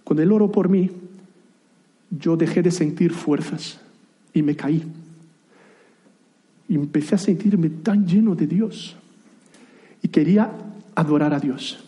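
Preacher, thirties, male, Portuguese native; higher-pitched, mellow voice, chatty sermon delivery with restarts. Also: takes it slowly, long pauses. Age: 30s. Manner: conversational, self-deprecating asides, frequent restarts.

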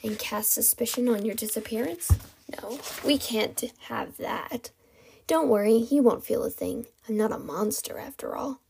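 A woman voicing a menacing, mocking male kidnapper in a hollow, breathy hissing whisper for a story reading. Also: on the high side, a little mellow, heavily acted. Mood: taunting menace. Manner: taunting, drawn-out menace.

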